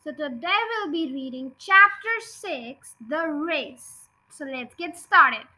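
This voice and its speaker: A woman reading a children's story aloud as host, in an expressive, emphatic way. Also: sits high, slow pace. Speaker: storybook reader, podcast host. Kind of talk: expressive children's audiobook narration.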